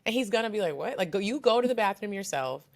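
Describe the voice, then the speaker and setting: A woman's voice: medium pitch, quick pace. A woman co-hosting a podcast, chatting casually in a blunt, slangy storytelling manner. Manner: blunt, profane, animated storytelling. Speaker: podcast co-host. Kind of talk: casual chat.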